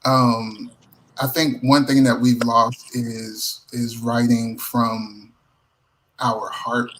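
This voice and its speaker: A man, a songwriter and singer appearing as a guest, giving practical advice in casual conversation, measured and halting between his points. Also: mid-range voice, level tone, fairly bright tone, slight background noise, rough recording.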